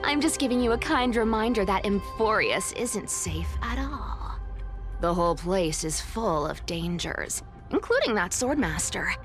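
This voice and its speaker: Sly, lively young trickster demigoddess played by an actress. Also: female voice, mid-range voice, lightly acted. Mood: sly explaining. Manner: playful, teasing, drawn-out delivery. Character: sly, teasing young female trickster demigod and treasure hunter. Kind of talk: anime-style game voice acting. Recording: music behind the voice.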